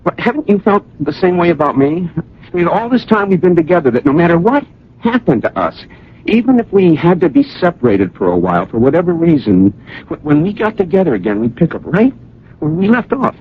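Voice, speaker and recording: An actor playing an earnest, lovesick suitor in a radio drama, halting and emotional as he presses with anxious questions. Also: on the high side, very animated, breathy edge. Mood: earnest, tender. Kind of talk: old radio drama acting. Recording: dull old radio broadcast.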